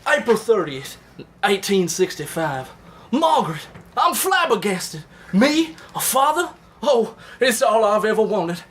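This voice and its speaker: Man playing a high-voiced, folksy Confederate soldier. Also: big pitch swings, unhurried, a bit bright, full character voice. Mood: giddy boastful pride. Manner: folksy, old-timey, florid. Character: lewd, boastful Confederate soldier husband writing home. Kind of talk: comedic live reading of love letters.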